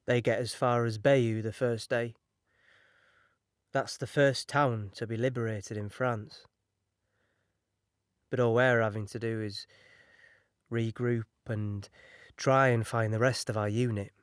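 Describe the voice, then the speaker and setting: An actor playing a British infantryman in an audio drama, recalling the war in a measured, matter-of-fact, musing way. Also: mid-range voice, steady tone, clean tone, no echo, studio quality, natural voice. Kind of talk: audio drama monologue, conversational recollection.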